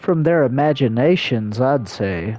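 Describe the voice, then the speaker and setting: A man's voice: mid-range voice, expressive, a little slow, darkish tone. A man livestreaming a game, reading dialogue choices aloud and commenting casually.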